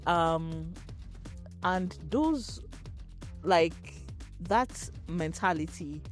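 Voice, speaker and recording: A woman from Nigeria, a startup co-founder answering interview questions in a measured, reflective way, pausing often with fillers. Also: on the low side, lively intonation, faint music behind. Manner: measured, thoughtful, pauses with fillers.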